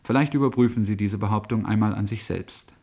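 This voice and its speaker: Schoolmasterly man, lowish voice, 1970s radio lecture. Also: a little fast, vocal fry, a little mellow, slightly rough. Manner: didactic, schoolmasterly, condescending. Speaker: lecture presenter.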